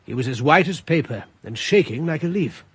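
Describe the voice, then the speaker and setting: A man narrating in the first person and playing the lead in a radio drama, with a measured, reflective delivery. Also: a little high, animated, slight background noise.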